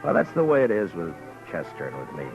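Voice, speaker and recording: Deep-voiced, weary frontier lawman. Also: big pitch swings, gravelly, heavily acted. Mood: reflective, grave. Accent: American. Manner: laconic, deliberate. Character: weary, terse frontier lawman narrating his own story. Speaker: US marshal and narrator. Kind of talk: 1950s radio drama acting and narration. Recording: muffled old radio recording with music.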